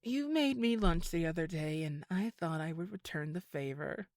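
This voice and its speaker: A woman's voice: fairly low pitch, animated, normal speed, darkish tone, no echo, studio quality. An actress playing a sly villainous woman in an audio drama, condescending with feigned sweetness. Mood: sweetly menacing. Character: sly, scheming villainous old flame, woman. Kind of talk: scripted audio drama acting.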